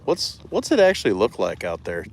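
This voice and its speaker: A man's voice: fairly low pitch, wildly swooping pitch, fairly quick, creaky. A man chatting casually on a fishing live stream in a rambling, self-deprecating way, sounding slightly congested from allergies. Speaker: fishing livestreamer. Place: outdoors beside a reservoir.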